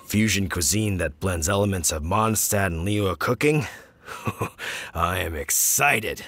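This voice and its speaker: A man's voice: low-pitched, slow pace, vocal fry, a bit bright, slight background noise, full character voice. A man playing a genial, formal village contest judge in a video game, speaking grandly and courteously. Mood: intrigued.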